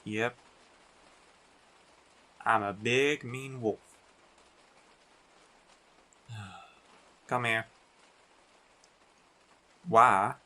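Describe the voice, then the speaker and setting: A man playing a big, teasing, affectionate biker werewolf boyfriend in an intimate roleplay audio, talking in an unhurried, colloquial way. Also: medium pitch, pushed and bright. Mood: relaxed, affectionate.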